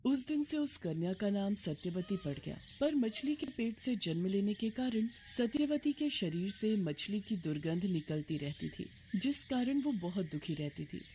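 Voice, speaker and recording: A woman's voice: medium pitch, lively intonation, normal speed, hardly any pauses, warm tone, a little hiss or hum. A woman narrating a scripted devotional story as a storyteller. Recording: music bed behind voice.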